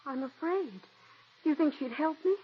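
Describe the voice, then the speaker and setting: An actress voicing a young, stage-struck female stenographer who is adoring and eager, in 1940s radio acting style with a breathy, gushing way of talking. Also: high voice, normal speed, natural voice. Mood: pleading. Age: young adult. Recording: dull 1940s radio broadcast.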